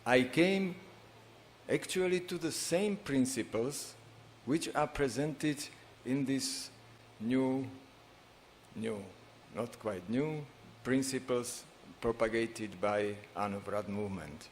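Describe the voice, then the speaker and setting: A middle-aged man with a Slovak accent giving a conference talk, halting and full of pauses, at a stage microphone. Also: mid-range voice, slight background noise. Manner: halting, many filler pauses, non-native phrasing. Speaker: conference speaker. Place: large conference hall on stage.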